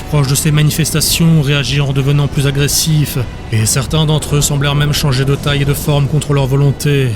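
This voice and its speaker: A man reading scripted dramatic narration as the narrator of an audiobook. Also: medium pitch.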